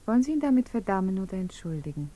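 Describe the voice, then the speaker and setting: Woman acting unhappy wife secretly in love, 50s radio play, mid pitch, breathy, emotional. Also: big pitch swings, rapid-fire, mellow, close to an everyday voice. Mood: bitter.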